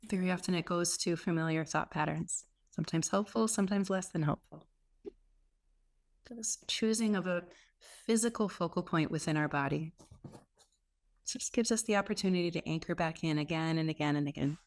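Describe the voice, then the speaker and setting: A woman leading a guided meditation as a teacher, speaking in an unhurried way with gentle pauses between phrases. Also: fairly low pitch. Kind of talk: guided meditation, softly instructive.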